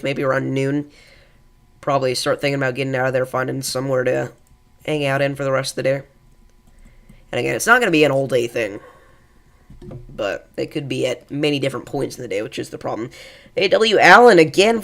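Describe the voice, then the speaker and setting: A man's voice: medium pitch, creaky, fairly bright tone. A young man with a congested voice, hosting a live weather stream in a casual, rambling way with many fillers and chatting with viewers. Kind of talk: live weather coverage, reading chat.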